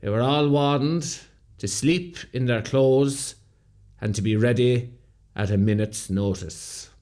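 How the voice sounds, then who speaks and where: An actor with an Irish accent playing a sober rebel veteran, narrating a radio play in a measured, reflective way. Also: male voice, medium pitch, a little mellow, lightly acted. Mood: solemn. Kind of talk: scripted dramatic narration.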